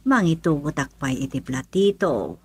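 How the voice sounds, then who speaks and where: A woman's voice: deep voice, expressive, moderate pace, slight background noise. A woman acting a fussy, grumbling housewife in a radio comedy-drama. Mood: muttering.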